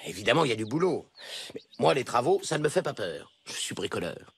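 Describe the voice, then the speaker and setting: A man's voice: mid-range voice, very animated, fast, creaky, a bit bright, husky, exaggerated voice. An actor playing an anxious, doting father in a cartoon, emotional and exclamatory. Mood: enthusiastic. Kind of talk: French cartoon dub acting.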